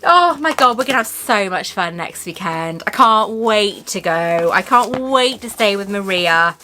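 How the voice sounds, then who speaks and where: A woman's voice: medium pitch, expressive, measured pace, pushed and bright, slight background noise, low-quality recording. A woman with a Welsh accent hosting a chatty unboxing vlog, rambling, gushing and laughing often. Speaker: YouTube host.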